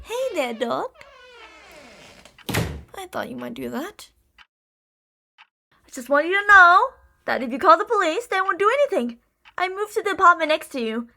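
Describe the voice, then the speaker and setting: A woman's voice: very high-pitched, sing-song, normal speed, vocal fry, long pauses, bright, forceful tone, full character voice. A woman playing an obsessive, delusional young female stalker and former bully in an ASMR roleplay, clingy and emotionally volatile. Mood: eerily calm. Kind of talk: ASMR roleplay, dramatic monologue to listener.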